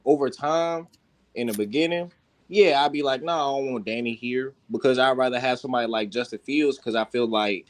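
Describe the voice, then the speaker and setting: A man's voice: medium pitch, a little fast. A man in a casual, heated sports debate, talking insistently with lots of slang and swearing. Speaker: sports talk panelist.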